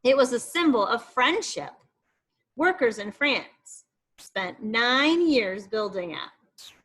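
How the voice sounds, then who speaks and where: A woman's voice: fairly high pitch, expressive, takes it slowly, fairly bright tone, breathy edge, exaggerated voice, rough recording. A woman voicing an informative female park ranger from a children's book, explaining things to a school class.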